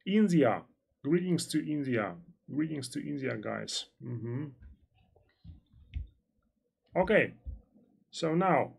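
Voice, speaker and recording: A man's voice: fairly high pitch, animated, slow pace, vocal fry, breathy edge. A man working as a financial analyst, hosting a live-stream trading lesson and answering viewer chat in a loose, rambling way full of fillers and restarts.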